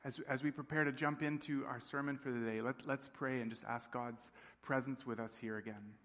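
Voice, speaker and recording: A man's voice: medium pitch, steady tone, moderate pace, fairly bright tone. A man in his thirties, a campus pastor raised in Tanzania, preaching a sermon in a friendly, conversational way and often checking in with the congregation. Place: large church sanctuary. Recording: long reverb of a church hall.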